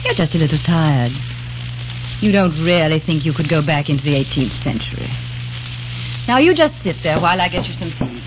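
An actress playing a sensible young woman who gently reasons with her fiancé, in an old radio drama. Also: low voice, animated, normal speed. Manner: soothing, practical, affectionate. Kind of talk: 1940s radio drama acting. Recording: noisy old radio recording.

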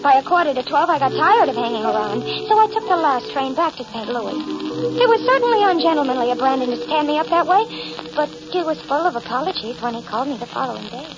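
An actress playing a coy, cheerful murderess in old radio drama, chatty and mock-innocent. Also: female voice, high voice, big pitch swings, fast, over music. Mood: confiding, smug. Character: cheerful, coy murderess narrating her crimes, primly self-justifying. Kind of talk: 1940s-50s radio drama acting. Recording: dull old radio broadcast, noisy.

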